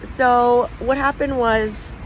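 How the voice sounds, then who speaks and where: Hoarse, raspy woman vlogging slowly. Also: a little high, steady tone, rough recording. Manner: chatty, digressive, thinking aloud. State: hoarse from bronchitis. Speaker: expat vlogger. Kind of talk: casual vlog talk to camera. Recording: outdoor street noise behind.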